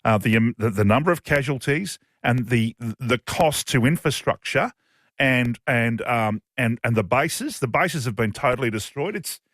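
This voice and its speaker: A man's voice: medium pitch, animated, a bit bright, no room sound. Emphatic Australian male radio host, dry studio sound. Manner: emphatic, repetitive, halting. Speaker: radio talk show co-host. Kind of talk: live breakfast radio talk. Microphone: close studio radio microphone.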